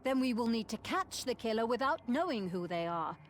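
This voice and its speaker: A woman's voice: fairly high pitch, sing-song, a little fast, slight background noise. A woman voicing a resolute, caring abbess in a video game, speaking with grave authority. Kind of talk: scripted video game voice acting.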